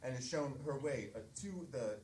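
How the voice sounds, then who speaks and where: Improv narrator, mid-pitched, hesitant ad-libbing, distant mic in a reverberant theatre. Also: lively intonation. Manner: halting, searching for words. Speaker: improv comedy performer and narrator. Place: theatre stage. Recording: echoey stage, distant mic, faint noise.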